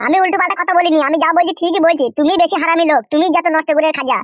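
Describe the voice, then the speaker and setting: A woman's-sounding voice plays a naive, whiny village husband in a comic cartoon. He is high-pitched and very fast, pleading stubbornly and bumbling his words, close and dry. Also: dry recording, lo-fi sound. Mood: aggrieved. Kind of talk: exaggerated comic cartoon dialogue.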